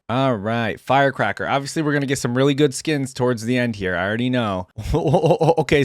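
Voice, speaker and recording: A man's voice: mid-range voice, no room sound, studio quality. A man giving casual, off-the-cuff reaction commentary as a video host, rambling informally as he thinks aloud.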